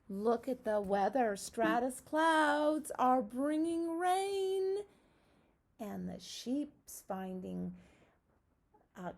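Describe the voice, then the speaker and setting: A woman's voice: medium pitch, creaky, a little mellow. A woman teacher reading a picture book aloud expressively to young children, adding encouraging asides and questions.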